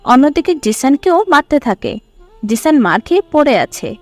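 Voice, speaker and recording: A woman's voice: high-pitched, sing-song, moderate pace, dry recording, faint music behind. A woman narrator reading a scripted dramatic story.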